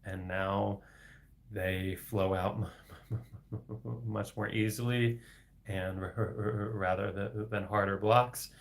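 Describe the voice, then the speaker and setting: A young man with a low, gravelly voice talks slowly on a casual solo livestream. He stutters heavily, repeating sounds and getting stuck on words, and laughs softly between phrases. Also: fairly even intonation. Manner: heavy stutter with repetitions and blocks, frequent soft laughs. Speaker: livestream host reading viewer questions.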